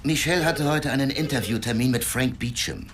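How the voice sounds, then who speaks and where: An actor playing a sober newspaper editor in a radio play, briefing in a factual, clipped way. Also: male voice, medium pitch, a little fast, fairly bright tone, lightly acted. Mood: serious. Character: matter-of-fact newspaper editor, sober man at work. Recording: clear ambient noise behind the voice.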